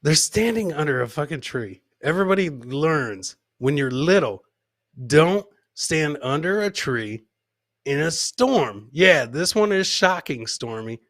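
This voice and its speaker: A man's voice: a little high, big pitch swings, measured pace, a bit bright, no echo, studio quality. A man hosting a comedy show, casually commenting on clips with crude, mocking humour and repeating his punchlines.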